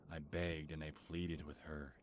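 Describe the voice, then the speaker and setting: A man's voice: a bass voice, flat delivery, unhurried, noisy background. A man playing an ancient, bitter, grieving beast king in a dramatic ASMR roleplay, brooding and menacing with heavy sighs. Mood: anguished.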